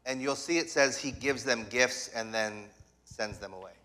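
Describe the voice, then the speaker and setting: A man preaching a sermon in short phrases, pausing for an interpreter. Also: mid-range voice, bright, forceful tone, low-quality recording. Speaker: guest preacher. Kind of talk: sermon in English with live interpretation. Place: church sanctuary.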